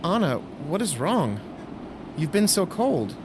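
A man's voice: sits high, sing-song, slow pace, background noise, exaggerated voice. An actor playing an earnest young Roman nobleman and officer in an audio drama, speaking with formal diction. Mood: tender, hurt. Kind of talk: full-cast audio drama acting.

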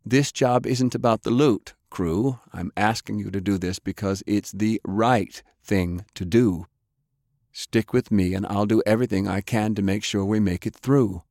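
A man voicing a resolute, calm pirate airship captain in an audiobook, speaking in a slow, measured, rallying way. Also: on the low side, no room sound, studio quality. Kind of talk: audiobook character acting.

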